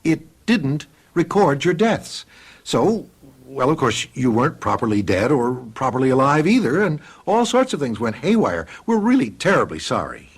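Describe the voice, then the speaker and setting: An actor playing a fussy, apologetic official who collects the dead, chatty and genial in a radio mystery drama. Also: male voice, medium pitch, very animated, moderate pace, slightly rough.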